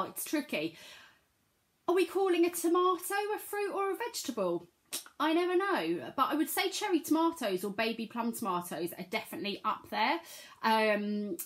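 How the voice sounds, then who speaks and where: A woman with a croaky voice from a cold, chatting casually to camera and filling pauses with lots of ums. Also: medium pitch. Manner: chatty, many ums, rambling. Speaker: vlogger.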